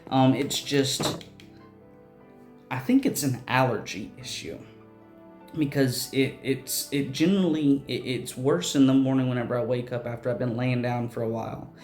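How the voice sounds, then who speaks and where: A man hosting casual game commentary, enthusiastic and rambling, with a raspy voice and a lingering cough. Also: medium pitch, moderate pace, darkish tone, roomy sound, soft background music. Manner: enthusiastic, rambling, many restarts. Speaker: host.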